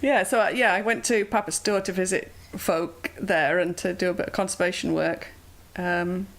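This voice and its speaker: A woman's voice: on the low side, fairly even intonation, moderate pace, fairly bright tone. Woman with a mild Yorkshire accent. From Yorkshire, England. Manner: chatty, lots of ums and uhs. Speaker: guest, yoga teacher. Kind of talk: casual interview chat.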